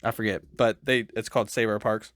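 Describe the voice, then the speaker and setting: A man's voice: lowish voice, flat delivery, a little fast, a bit bright, no room sound, clean studio sound. A man with a speech impediment affecting his R sounds, co-hosting a podcast in loose, rambling casual chat. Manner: loose, swears freely, rambling. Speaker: podcast co-host.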